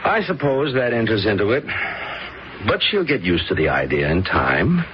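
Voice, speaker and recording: A patient middle-aged husband and father coaxes and reassures in an old radio play. His low voice has a lively range, and the recording sounds dull. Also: normal speed, a little hiss or hum. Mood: reassuring. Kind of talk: 1970s radio drama acting.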